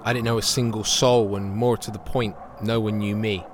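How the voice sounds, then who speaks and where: Male actor as young drifter living rough, colloquial and embarrassed, audio play monologue, faint noise behind. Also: lowish voice, flat delivery, normal speed, lightly acted. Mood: rueful. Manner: colloquial, plain-spoken. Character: embarrassed young homeless man, a drifter with a limp. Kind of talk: audio drama, confessional first-person monologue.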